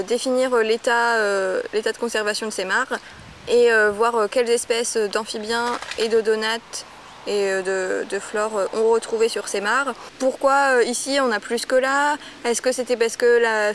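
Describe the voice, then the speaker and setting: A young woman from Normandy speaks in a slightly high voice, pausing often and restarting her sentences. The recording carries a light hiss underneath. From Normandy, France. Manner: hesitant, many fillers and restarts. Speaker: ecology student on internship. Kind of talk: filmed documentary portrait interview.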